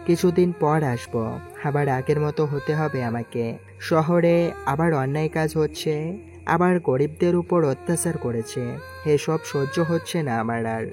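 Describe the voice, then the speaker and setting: A man's voice: on the high side, measured pace. A man narrating a scripted first-person story, voicing its dialogue as he goes. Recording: music clearly behind the voice. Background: music.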